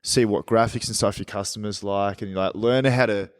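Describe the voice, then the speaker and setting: A man in his thirties talks through his advice in a relaxed, step-by-step way, calling people "man" and "dude". His voice sits fairly low. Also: normal speed. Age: 30s. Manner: laid-back, explains step by step, says man and dude. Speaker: guest, clothing label owner. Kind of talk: casual interview chat.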